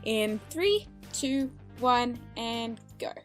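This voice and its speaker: A woman's voice: a little high, expressive, extremely slow. Chatty woman commentating over music. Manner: chatty, amused. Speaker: reaction commentator, fan artist and voice actor. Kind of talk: casual reaction commentary. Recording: music behind the voice. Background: cartoon soundtrack with music.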